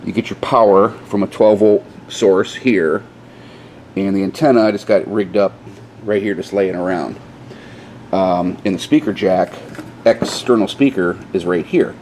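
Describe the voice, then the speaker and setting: A man in a casual, hesitant show-and-tell, thinking out loud like a DIY vlogger in an informal, rambling way. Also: fairly low pitch. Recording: clearly noisy, radio chatter at times.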